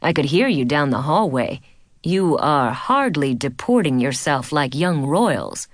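A woman's voice: low voice, very animated, a little slow, no room sound, clean studio sound, pitched below the performer's own voice, exaggerated voice. A woman voicing a weary, bored male French dance teacher who scolds formally. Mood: stern.

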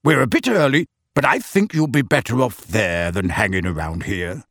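A man acts out a mild, kindly middle-aged dad from a storybook. He speaks in a measured, reassuring way with gentle eagerness. The sound is close and dry. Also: lowish voice, lively intonation, no echo.

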